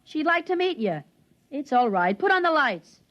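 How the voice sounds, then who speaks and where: An actor playing an earnest, excitable young boy, a gee-whiz kid brother, in a 1950s radio drama. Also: high voice, moderate pace. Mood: excited. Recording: muffled vintage radio recording.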